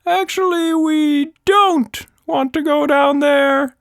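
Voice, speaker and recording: An odd, excitable little storybook monster. The voice is squeaky and soars extremely high, with words stretched slowly. It sounds cartoonish and friendly. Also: male voice, gravelly, no echo, studio quality, exaggerated voice. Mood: uneasy, hesitant.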